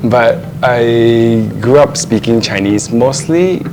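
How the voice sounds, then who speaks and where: A man's voice: mid-range voice, takes it slowly. A young man with a Singaporean English accent, chatting as a podcast guest and laughing often. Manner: laughs often, drops in local particles. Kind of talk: casual chat. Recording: noisy room.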